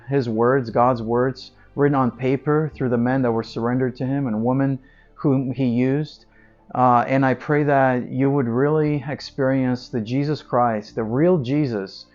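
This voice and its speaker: A man's voice: mid-range voice, darkish tone, dull audio. A man from Ukraine with a Ukrainian accent, giving an earnest personal faith testimony as an evangelist, repeating words for emphasis with frequent fillers. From Ukraine, Odessa.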